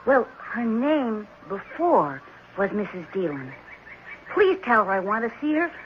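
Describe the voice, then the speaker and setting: A woman's voice: fairly high pitch, very animated, measured pace. A woman playing an earnest, polite and determined young boy in a 1970s radio drama. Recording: dull, slightly noisy 1970s radio recording.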